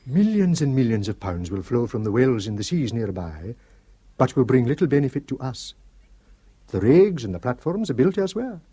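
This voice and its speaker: An actor playing an earnest Shetland father in a radio drama, speaking in a measured way. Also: medium pitch, sing-song, warm tone, breathy edge, no echo. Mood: indignant. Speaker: islander on the committee.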